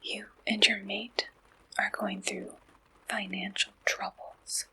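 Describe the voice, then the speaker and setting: Woman doing asmr roleplay as a matchmaker, breathy whisper, really slow and even, asks stuff in short bits. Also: mid-range voice, slight background noise, low-quality recording. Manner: slow, deliberate questionnaire-style delivery in short phrases. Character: attentive female matchmaker at a werewolf dating service.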